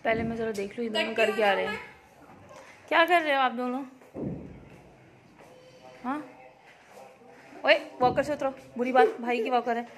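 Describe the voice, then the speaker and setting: High-pitched woman coaxing children. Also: normal speed, long pauses, a crowd in the background. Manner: directing and prompting with short questions and commands. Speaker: parent filming children for a family vlog. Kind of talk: casual family vlog chat, coaxing children. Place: at home with children.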